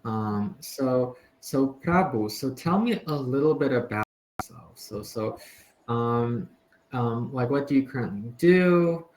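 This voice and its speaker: A man with a mid-range voice leads a relaxed English lesson over a video call. He is friendly but hesitant, often circling back with fillers before he finishes a question, and a faint hiss sits behind him. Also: medium pitch, takes it slowly, poor audio. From United States. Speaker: English tutor. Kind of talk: casual conversation lesson.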